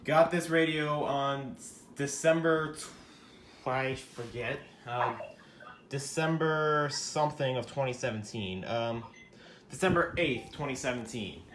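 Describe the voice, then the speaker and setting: A man hosting a casual home video about his radio collection, talking in a loose, rambling way with plenty of swearing and sudden loud outbursts at himself. His voice sits at an ordinary pitch. The room is echoey, with a steady noisy background. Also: measured pace. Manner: rambling, self-deprecating, swears freely, bursts into shouting. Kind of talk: casual show-and-tell vlog.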